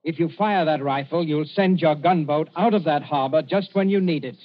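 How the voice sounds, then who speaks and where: An actor playing a cool-headed, dryly sardonic British governor in an old-time radio drama. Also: male voice, fairly high pitch, moderate pace, slight background noise, lightly acted. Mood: dry accusation. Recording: muffled vintage radio recording.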